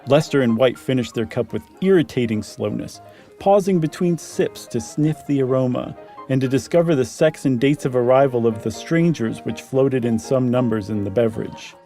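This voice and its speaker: A man's voice: medium pitch, normal speed, mellow, faint music behind. A man co-reading and narrating a horror short as a dramatic story. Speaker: narrator and co-reader.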